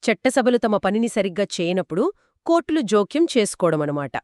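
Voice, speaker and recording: Lively woman explainer, close dry sound. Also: medium pitch, fairly quick, no room sound. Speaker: explainer co-host. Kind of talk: scripted two-person legal explainer discussion.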